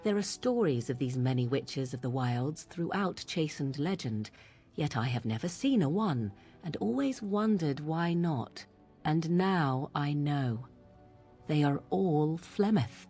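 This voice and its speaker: Low voiced young witch in a fantasy game, proud n troubled, old-timey formal talk, faint music. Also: animated, warm tone, slightly rough, exaggerated voice. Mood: grave, disturbed. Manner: formal, archaic, deliberate phrasing.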